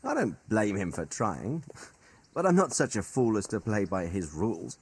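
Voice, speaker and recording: A seasoned royal commander in a game drama. His speech is measured and articulate, with a very lively, varied melody that carries stern authority. He chooses formal words and sets them out deliberately. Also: lowish voice, slightly rough, exaggerated voice. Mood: dry disdain. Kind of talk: dramatic video game cutscene dialogue.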